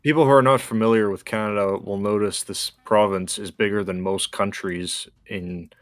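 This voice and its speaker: A man's voice: lowish voice, steady tone, moderate pace, no room sound. A man co-playing on a gaming show, giving casual commentary in wordy, explanatory phrasing. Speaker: guest co-player on a gaming show.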